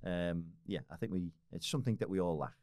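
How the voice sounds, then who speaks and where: Liverpool man, forties, podcast guest; very low, quick, rambling with restarts, dry close sound. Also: warm tone, dry recording. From Liverpool, England. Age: 40s. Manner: rambling, many restarts and fillers, swears casually. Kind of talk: casual podcast conversation.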